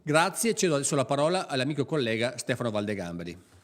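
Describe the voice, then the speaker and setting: A man's voice: mid-range voice, big pitch swings, fast. A man delivering a prepared, formal political speech in an earnest, rhetorical manner. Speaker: regional politician speaking at a press conference.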